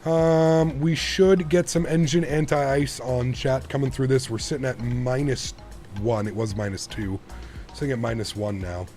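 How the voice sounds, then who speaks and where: A man in his thirties chats casually as a live streamer. His manner is laid-back and musing, with a slightly strained, sore-throated voice at an average pitch. Faint music plays behind him. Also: steady tone, measured pace, a little mellow. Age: 30s. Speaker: flight simulator live streamer. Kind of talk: casual chat with stream viewers.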